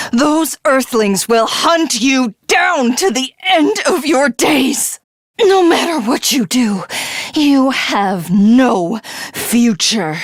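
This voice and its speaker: A woman voicing an arrogant, sadistic alien warrior craving godhood in a video game, with theatrical, drawn-out taunts and a breathy, husky edge. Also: high-pitched, dry recording, clean studio sound, heavily acted. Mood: menacing, defiant. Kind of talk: dramatic video game villain acting.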